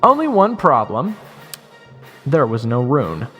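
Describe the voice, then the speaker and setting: A man's voice: medium pitch, huge pitch swings, takes it slowly, a bit bright, muffled recording. A man giving first-person dramatic scripted narration as the hero of a fantasy audio roleplay. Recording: long reverb, music under the voice.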